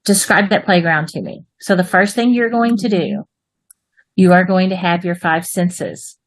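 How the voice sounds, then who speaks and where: A woman's voice: fairly low pitch, measured pace, no echo, low-quality recording. A woman with a Texas accent teaching an online writing class to children. She is upbeat and encouraging and ends with folksy rhyming goodbyes. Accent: Texan. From Texas, United States. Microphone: video call.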